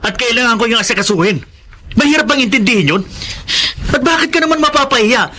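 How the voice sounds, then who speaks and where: An actor playing an exasperated, long-suffering husband in a Filipino radio melodrama. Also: male voice, very high voice, expressive, quick pace, bright, forceful tone, full character voice. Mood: exasperated, angry.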